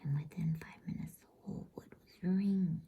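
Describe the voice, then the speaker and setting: A woman reading softly in a breathy whisper, in a soothing, unhurried ASMR bedtime story style. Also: lowish voice, steady tone, narrow, tinny audio. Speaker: host and storybook reader.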